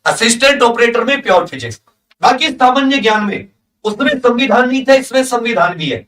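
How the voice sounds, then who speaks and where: Very high-pitched man teaching, echoey room. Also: expressive, brisk, fairly bright tone. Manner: itemizing, mixes in English technical terms. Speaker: exam coaching teacher. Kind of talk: lesson, reading through a syllabus list with brief comments. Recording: echoey room, distant microphone.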